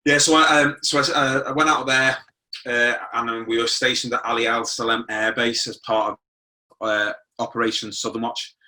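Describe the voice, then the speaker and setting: A man's voice: mid-range voice, level tone, normal speed, pushed and bright, far from the mic, low-quality recording. A British man in his forties telling his own life story informally as an interview guest. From born in Germany, moved to the UK at nine. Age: 40s. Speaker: interview guest, motivational speaker.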